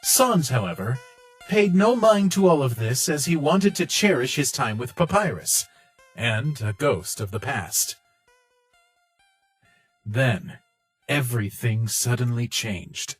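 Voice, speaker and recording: A man's voice: medium pitch, lively intonation, slow, long pauses, slightly rough. A man reading scripted story narration as the narrator of a comic dub. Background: guitar music.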